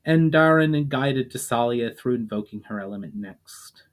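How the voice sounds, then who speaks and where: Man narrating fantasy in measured phrases. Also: medium pitch. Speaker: author reading own fiction aloud, channel host. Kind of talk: dramatic audiobook-style fantasy narration.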